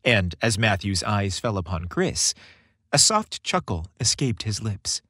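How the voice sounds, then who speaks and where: A man's voice: fairly low pitch, very animated, measured pace, bright, forceful tone, husky, no room sound. A man hosting a story podcast, reading scripted narration with a playful, theatrical delivery, close on the microphone.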